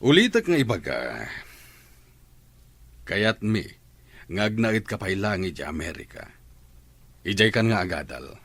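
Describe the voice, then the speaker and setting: A man's voice: fairly low pitch, big pitch swings, unhurried, long pauses, a bit bright, no room sound, exaggerated voice. A man playing a strict middle-aged father in a radio drama, firm and commanding. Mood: stern.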